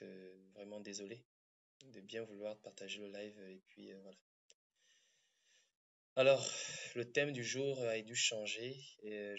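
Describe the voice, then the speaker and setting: Guy with a cold on a live stream, lowish voice, keeps repeating himself, muffled lo-fi sound. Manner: halting, repetitive, apologetic, many fillers. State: has a cold, congested. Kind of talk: social media live stream.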